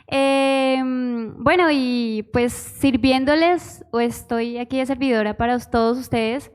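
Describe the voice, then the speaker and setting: A young woman from Bogotá gives her testimony in church, speaking with a local Bogotá accent. Her voice sits a little high, and she is shy and giggly, hesitating often with fillers as she talks. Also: fairly even intonation, slow pace, darkish tone, clean tone. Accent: Bogotá Spanish. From Bogotá, Colombia. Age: 20s. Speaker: church musician, pastor's wife. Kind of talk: personal testimony.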